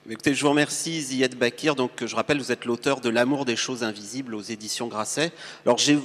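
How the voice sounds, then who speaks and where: A man's voice: medium pitch, fairly even intonation, quick pace, a bit bright. A man moderating a live panel in a formal, courteous register before an audience. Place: large hall with an audience. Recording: echoing hall, distant timbre, humming noise.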